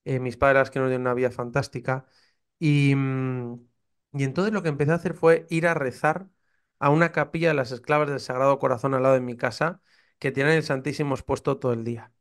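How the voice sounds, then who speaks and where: Fervent Spanish priest with a smooth voice. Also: male voice, mid-range voice, fairly even intonation, a little fast. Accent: Castilian Spanish. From Spain. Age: 30s. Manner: earnest, fervent storytelling, acts out his own past doubts. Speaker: priest, podcast guest. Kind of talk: personal testimony in conversation.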